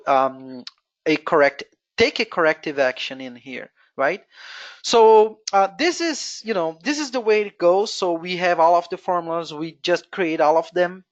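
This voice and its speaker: A Brazilian-accented presenter whose first language is Portuguese, walking through a software screen-share tutorial step by step and thinking aloud as they go. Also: medium pitch, lo-fi sound. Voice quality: explains step by step, thinking aloud with frequent "you know". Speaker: webinar presenter.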